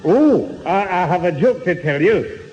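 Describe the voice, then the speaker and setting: Bumbling old grandad, echoey recording. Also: male voice, sits high, big pitch swings, measured pace, darkish tone, lo-fi sound. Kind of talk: old-time radio sitcom acting.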